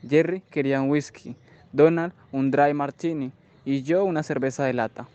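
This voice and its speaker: An actor playing an aggrieved army sergeant, recounting events in deliberate detail in a radio drama. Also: male voice, a little high, steady tone, a little mellow, highs cut off, close to an everyday voice. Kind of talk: radio drama narrative monologue.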